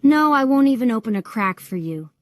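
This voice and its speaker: A woman's voice: a little high, lively intonation, brisk, dry recording, lightly acted. A woman voicing a young little pig, defiant yet afraid of the wolf, in a children's story.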